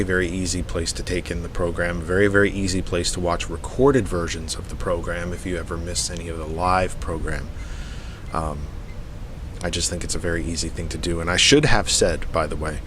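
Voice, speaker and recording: A man hosting a live radio talk show, congested and sniffly from allergies, speaking deliberately and repeating phrases for emphasis. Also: low-pitched, a bit bright, a little hiss or hum. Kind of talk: live internet radio talk, program announcements.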